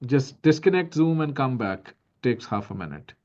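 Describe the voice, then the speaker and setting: A man's voice: medium pitch, sing-song, normal speed, darkish tone. Upbeat man moderating a video call. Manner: upbeat, brief, reassuring. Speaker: session moderator. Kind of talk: online event moderation. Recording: dull lo-fi video call audio.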